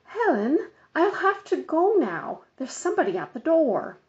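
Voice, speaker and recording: A woman's voice: high-pitched, big pitch swings, unhurried, pitched above the performer's own voice. A woman voicing a weary, impatient mother in a storybook, curt and exasperated. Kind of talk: storybook character voice. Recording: dull, muffled lo-fi audio.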